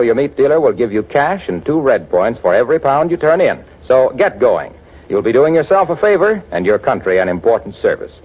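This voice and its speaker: A man's voice: mid-range voice, slightly rough. A man announcing on 1940s radio, reading scripted copy in a folksy, emphatic salesman's manner. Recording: muffled 1940s radio recording.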